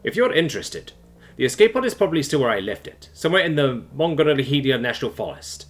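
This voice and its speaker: A man's voice: medium pitch, sing-song, fast talker, soft background music, lightly acted. Audiobook fugitive read by a man: resigned, confiding, formally worded, with varied intonation. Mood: grave resignation. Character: resigned, doomed fugitive recounting his secret story.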